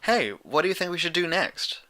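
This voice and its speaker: A man's voice: on the high side, sing-song, moderate pace, pushed and bright, voice pushed up. A man voicing a studious, fussy young female unicorn pony with precise, wordy phrasing in a story reading. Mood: cheerful.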